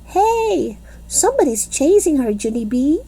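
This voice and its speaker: A woman voicing an eager, excitable little schoolgirl who squeals and shouts. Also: high voice, slow pace, voice pushed up, full character voice. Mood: alarmed.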